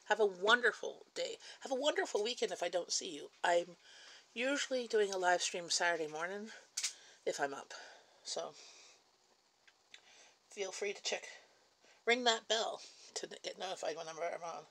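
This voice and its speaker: A woman's voice: medium pitch, lively intonation, moderate pace, long pauses, a bit bright, low-quality recording. A Canadian woman with a Canadian English accent chatting casually at home in her kitchen on a livestream, reading viewer comments aloud, answering them and laughing between remarks. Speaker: livestream host.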